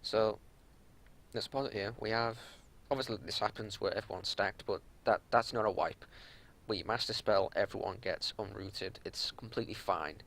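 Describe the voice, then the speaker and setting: Low gravelly man reviewing gameplay. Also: moderate pace, a bit bright. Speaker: raid leader reviewing gameplay. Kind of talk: unscripted game analysis commentary.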